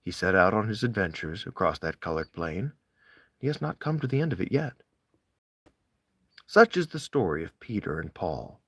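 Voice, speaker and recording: A man's voice: lowish voice, fairly bright tone, no echo, clean studio sound. A man reading a literary essay aloud with theatrical, emphatic phrasing. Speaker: amateur audiobook reader.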